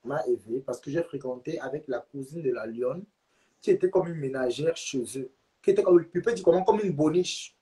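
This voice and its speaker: A man with a notably high voice hosts a live stream and drives the talk. He hammers the same points again and again and keeps pushing viewers to share. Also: moderate pace, mellow. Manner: insistent, repeats points, urges viewers to share. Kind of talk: heated live stream chat.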